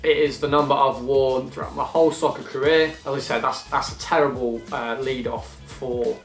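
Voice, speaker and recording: A man's voice: mid-range voice, fairly even intonation, normal speed, reverberant, faint music behind. A young man in his twenties from England, chatting casually in a self-deprecating, rambling way while eating through a vlog Q and A. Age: 20s. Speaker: YouTuber.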